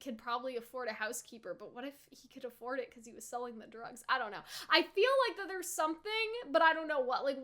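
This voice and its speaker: A young woman with a very high, bright voice chats through an anime review. She rambles in an animated, casual way, often checking in with "right?" and leaning on "like". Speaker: anime reaction YouTuber. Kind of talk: casual reaction and review chat.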